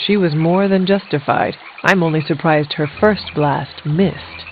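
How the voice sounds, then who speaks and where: A woman voicing a stern but curious resurrected warrior in a video game, speaking in a formal, measured, dry way. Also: sits low, a little hiss or hum. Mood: dry, serious. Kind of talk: scripted video game dialogue. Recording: thin phone-like band, music behind.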